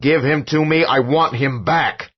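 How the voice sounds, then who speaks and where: This is a scared, desperate father who speaks urgently and demands answers, played by a male narrator. His voice is husky and sits a little low. The recording is dull and lo-fi. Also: fairly quick. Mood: frantic. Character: frightened desperate father, fierce and shaken. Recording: dull lo-fi audio.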